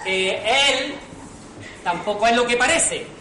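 An actor playing a hapless, jittery poor impostor in an amateur comic radio play, stammering and muttering exasperated asides. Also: male voice, high-pitched, expressive, measured pace, sharp tone, exaggerated voice. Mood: flustered confessing. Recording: roomy distant stage recording, noisy audience.

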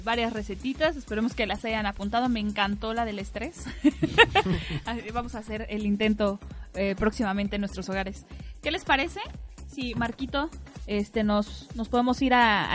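A woman's voice: fairly high pitch, normal speed. A woman hosting a radio show, chatty and full of filler words. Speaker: radio host. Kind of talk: casual radio talk. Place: radio studio. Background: music.

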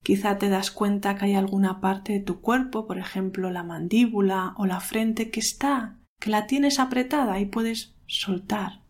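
A woman guides a meditation at a medium pitch. She speaks calmly and without hurry, leaving pauses between short phrases in a soothing manner. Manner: calm, unhurried, soothing, with pauses. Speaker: meditation guide, podcast host.

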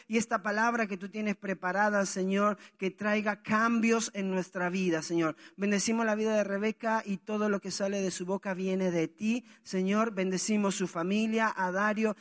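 A man's voice: high-pitched, a bit bright. A man hosting a church service, introducing a guest speaker and leading prayer before the congregation.

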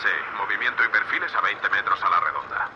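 A man playing a gruff, seasoned spaceship captain in an audio drama, curt, practical and dryly sardonic. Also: fairly low pitch, very animated, fairly quick. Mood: brisk, matter-of-fact. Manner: curt, commanding, dry. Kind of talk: audio fiction acting. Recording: dull, muffled band, light noise.

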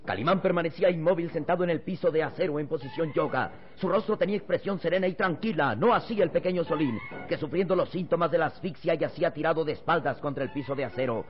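A male narrator of a dramatic radio serial. His voice is a little high, with grave, emphatic delivery. The recording is dull, with faint music underneath. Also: fast talker, hardly any pauses.

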